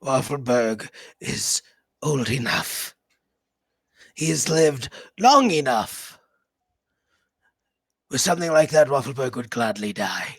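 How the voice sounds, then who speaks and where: A man's voice: medium pitch, big pitch swings, slow, long pauses, a bit bright, husky, dry recording, studio quality. Stern man speaking in third person. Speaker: player. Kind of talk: playing a character in a tabletop game.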